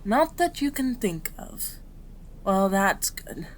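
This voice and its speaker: A woman voicing a gentle, patient female kitsune doctor in an ASMR roleplay, with a soothing, polite, measured manner. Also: mid-range voice, big pitch swings, a little hiss or hum. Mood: pleased, attentive.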